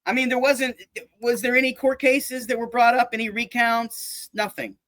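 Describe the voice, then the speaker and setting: A man's voice: very high voice, little pitch movement, moderate pace, a bit bright, dry recording. A man chatting casually about politics, rambling and restarting his sentences, dropping into mock voices for jokes.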